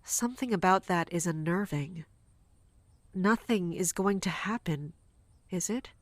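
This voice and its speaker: A woman's voice: fairly low pitch, unhurried, no echo. A woman playing a dutiful, guarded royal guard captain in an audio drama, speaking formally and deferentially. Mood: uneasy, concerned.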